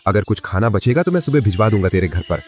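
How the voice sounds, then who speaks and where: A man playing a rich, snobbish, scornful elder brother in an animated moral story, speaking haughtily. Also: fairly low pitch, quick pace, faint music behind. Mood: scornful, angry.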